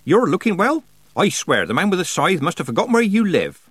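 A man voicing a brisk, bossy old village witch woman in an audiobook, folksy, teasing and scolding. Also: high-pitched, big pitch swings, a bit bright, husky, dry recording, pitched above the performer's own voice, full character voice. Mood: loud, cheeky. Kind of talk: audiobook character dialogue.

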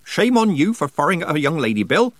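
A man voicing a brisk, bossy old village witch woman in an audiobook, folksy, teasing and scolding. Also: fairly high pitch, sing-song, a bit bright, slightly rough, dry recording, pitched above the performer's own voice, heavily acted. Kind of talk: audiobook character dialogue.

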